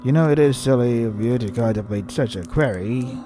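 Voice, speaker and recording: A man's voice: mid-range voice, fairly quick, music in the background. A man reading a visual novel aloud and commenting on it in an irritated, sarcastic way with heavy swearing. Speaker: let's play host.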